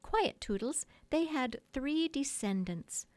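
A motherly little storybook girl, played by a woman, telling her tale with earnest grandeur and gentle scolding. Her pitch rises high and swoops theatrically, close and dry in the recording. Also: animated, slow, dry recording. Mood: earnest, storytelling.

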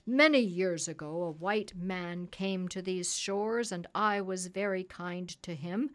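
A woman's voice: fairly low pitch, lightly acted. A woman voicing a stern, imperious African king in a storybook, speaking in a commanding, declamatory way.

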